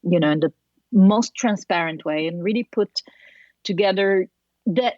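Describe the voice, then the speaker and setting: A woman's voice: medium pitch, unhurried, darkish tone, no room sound, muffled recording. A woman from Italy with an Italian accent, a podcast guest chatting thoughtfully with many fillers and restarts. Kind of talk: casual interview chat.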